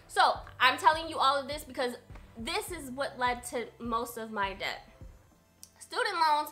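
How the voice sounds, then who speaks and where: A woman's voice: fairly high pitch, sharp tone. A woman in her late twenties talking casually to her camera about her budget, earnest and repeating points for emphasis. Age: 20s. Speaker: budgeting vlogger.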